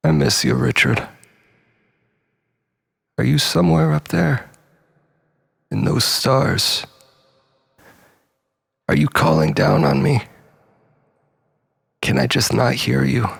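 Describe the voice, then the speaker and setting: A man's voice: mid-range voice, animated, slow, gravelly, pauses often, breathy edge, full character voice. A man playing a mournful, haunted brother in grief, delivering a brooding, poetic scripted monologue. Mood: grieving, wistful.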